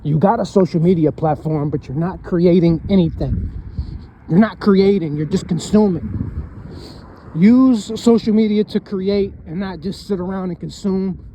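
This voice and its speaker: A man's voice: high voice, a little slow, warm tone, a little hiss or hum. A man of thirty, giving a casual, rambling motivational talk and often tagging lines with "man" and "you know what I'm saying". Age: 30s. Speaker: vlogger.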